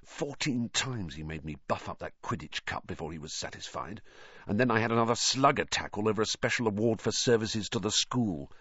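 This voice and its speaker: A man's voice: medium pitch, wildly swooping pitch, normal speed, a bit bright, breathy edge, no echo, highs cut off, heavily acted. A man voicing a weary, grumbling schoolboy with a heavy, complaining manner in a storybook. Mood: weary, puzzled. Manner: heavy, complaining, plain-spoken.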